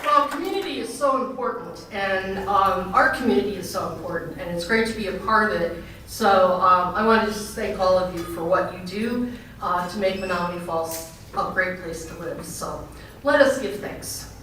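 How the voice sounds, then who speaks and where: A woman's voice: mid-range voice, moderate pace, a bit bright. Big-voiced woman pastor in an echoey hall. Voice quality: big, projecting voice. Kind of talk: informal remarks and spoken prayer to a gathering. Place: large echoing indoor banquet venue. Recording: very echoey hall, distant mic, faint noise.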